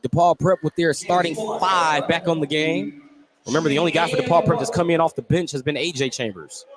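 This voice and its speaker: A man's voice: fairly high pitch, brisk, a crowd in the background. A man working as a radio play-by-play announcer, describing a live basketball game in clipped, running phrases. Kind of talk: live radio sports commentary. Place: indoor basketball gym. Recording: very noisy live gym broadcast.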